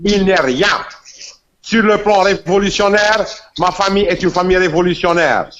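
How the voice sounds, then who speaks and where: A man's voice: sits high, normal speed. A man from Constantine with an Algerian accent, arguing insistently as a guest in a heated political debate. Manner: forceful, insistent, repeats himself. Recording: muffled lo-fi audio with faint noise.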